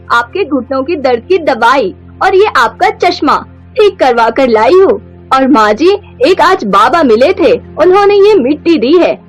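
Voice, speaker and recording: A meek young daughter-in-law as a woman acts her in a cartoon moral story. The voice is very high and sweet. She speaks deferentially, with light music underneath. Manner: deferential, ending phrases with polite particles.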